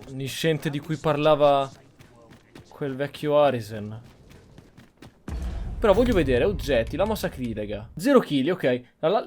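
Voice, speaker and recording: A man's voice: a little high, a little mellow, a little hiss or hum. An Italian man hosting a gameplay video, commenting casually and sometimes speaking in character. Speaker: gaming video host.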